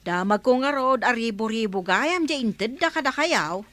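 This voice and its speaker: A woman's voice: fairly high pitch, animated, normal speed, a bit bright, no echo. An actress playing a plaintive, inquisitive village woman with an Ilocano accent in a comedy radio drama.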